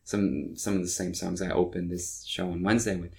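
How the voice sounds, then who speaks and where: A man's voice: low-pitched, level tone, a little fast, creaky. A man, a musician chatting in an interview, rambling with frequent restarts. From Norway. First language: Norwegian. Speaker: musician guest. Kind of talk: casual interview chat.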